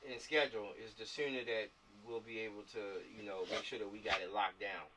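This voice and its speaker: A man's voice: lowish voice, fairly even intonation, brisk, background noise. An American man in a casual planning chat on a video call, repeating himself and often checking for agreement. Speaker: film director organizing a shoot. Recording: distant mic on internet video call.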